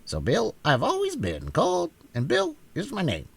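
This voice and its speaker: Man playing a raspy, creaky, chatty storybook hen. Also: sits high, huge pitch swings, fairly bright tone, no room sound, voice pushed up, heavily acted. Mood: proud, matter-of-fact. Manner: matter-of-fact, unflappable, quick to retort. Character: chatty, self-assured talking yellow hen. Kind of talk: storybook character voice in audiobook reading.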